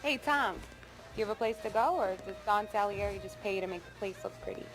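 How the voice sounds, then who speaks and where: An actress playing a sassy, casual, teasing American barmaid in a gangster game. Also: female voice, mid-range voice, a little fast, lightly acted, low-quality recording. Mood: playful. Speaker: game character actor. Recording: music over dialogue.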